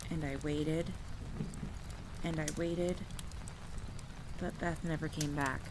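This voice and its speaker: A woman performing a scripted first-person campfire tale in a dramatic, suspenseful storytelling manner. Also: low voice. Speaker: audio roleplay performer and storyteller. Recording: clear background noise of rain and thunder. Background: rain, rolling thunder and outdoor ambience.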